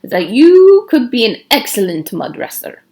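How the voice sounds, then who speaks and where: Woman voicing a blustering storybook father, swooping pitch. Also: very animated, unhurried. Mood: hearty. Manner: loud, emphatic exclamations. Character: blustering, jovial father haggling over his daughter. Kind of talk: storybook read-aloud dialogue.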